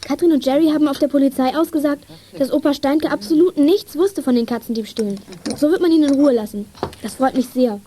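A female performer playing a plucky, quick-witted schoolgirl in a children's radio play, pert and friendly. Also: sits high, dark tone, close to an everyday voice. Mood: sincere, pleased.

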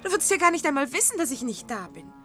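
An actress playing an intimate, insistent, sleepless wife in a German science-fiction radio play, full of emotion. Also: female voice, sits high, big pitch swings, rapid-fire. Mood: tender, pleading. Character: pleading, euphoric wife who never sleeps. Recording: vintage radio play, loud music bed. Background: music.

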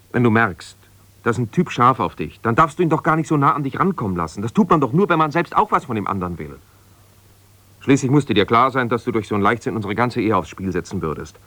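An actor playing an embittered, self-righteous husband, a lawyer, reproachful and exasperated on one side of a phone call in a naturalistic radio play. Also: male voice, mid-range voice, big pitch swings, brisk, bright, forceful tone, no echo. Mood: exasperated, accusing. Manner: defensive, reproachful, exasperated, insistent.

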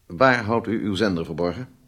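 An actor playing a stern police major in a radio drama, formal, measured and insistent in manner. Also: male voice, on the low side, fairly bright tone, slightly rough. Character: stern, methodical male police major interrogating a spy.

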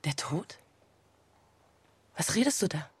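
A woman's voice: low-pitched, sing-song, slow pace, husky, no room sound, heavily acted. An actress playing a proud, spirited woman of a merchant family in a radio drama, speaking emphatically. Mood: alarmed. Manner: emphatic, exclamatory. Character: proud, spirited merchant's daughter, doting outspoken sister. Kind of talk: German radio drama acting.

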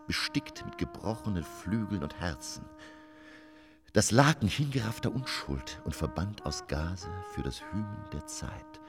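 An actor playing a vain, hard-drinking stage performer in a radio drama, pompous and declamatory, with a husky, gravelly voice. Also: male voice, deep voice, exaggerated voice. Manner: declamatory, pompous, swinging from murmur to outburst. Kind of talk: German radio drama acting. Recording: long reverberant hall.

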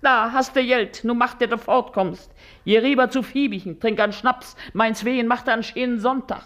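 A woman's voice: fairly high pitch, fairly even intonation, moderate pace, a bit bright, close to an everyday voice. An actress playing a shrewd, chatty working-class mother in a German radio play, middle-aged, with a Berlin accent and a wheedling, emphatic way of talking. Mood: brusque, scolding.

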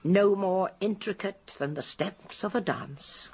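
A woman's voice: mid-range voice, takes it slowly, a little mellow, slightly rough, exaggerated voice. An actress playing a shrewd, genteel elderly English spinster detective in a radio drama, precise and emphatic as she explains. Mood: assured. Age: older. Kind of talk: British radio drama acting.

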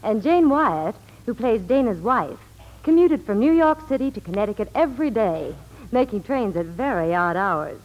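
A woman's voice: a little high, animated, moderate pace, highs cut off. A woman giving scripted Hollywood reports and sponsor talk in 1940s radio style. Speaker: Hollywood reporter and soap pitchwoman.

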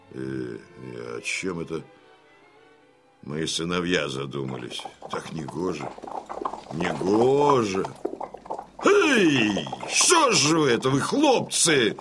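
An actor playing a proud, boisterous old Cossack father in a theatrical radio play, declaiming with a gravelly voice. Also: deep voice, exaggerated voice. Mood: wistful brooding. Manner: declamatory, swinging from brooding murmur to hearty bellow. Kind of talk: theatrical audio drama acting.

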